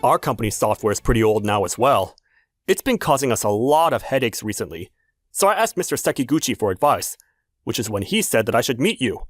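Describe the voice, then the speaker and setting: A man's voice: mid-range voice, sing-song, brisk, soft background music, voice pushed down, close to an everyday voice. Man playing a formal, courteous company chief. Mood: businesslike. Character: courteous businessman, a company chief seeking software. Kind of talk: manga dub dialogue.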